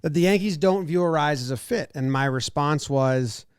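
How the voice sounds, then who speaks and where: A man's voice: on the high side, moderate pace, clean tone, no echo, clean studio sound. A man co-hosting a podcast, chatting casually about sports, rambling and second-guessing himself. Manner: rambling, self-doubting, acts out what he thought. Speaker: podcast co-host.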